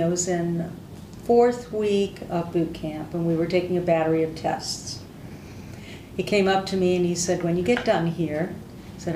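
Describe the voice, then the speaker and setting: A woman's voice: fairly low pitch, a little mellow, distant mic, slight background noise. Older woman recalling stories, roomy echo. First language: Hungarian. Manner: candid, plain-spoken storytelling. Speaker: veteran interviewee. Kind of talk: oral history interview.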